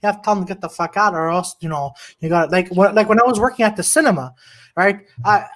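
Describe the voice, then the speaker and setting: A man hosting a casual podcast chat over a video call, loud and rambling, swearing and restarting often. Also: high voice, quick pace. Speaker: podcast host. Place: home room, video call.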